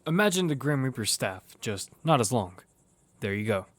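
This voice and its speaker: A man's voice: mid-range voice, sing-song, moderate pace. An actor playing a wary young man who narrates and speaks in a battle-survival audio drama. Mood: narrating, tense. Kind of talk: first-person audio drama narration and dialogue. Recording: music behind the voice.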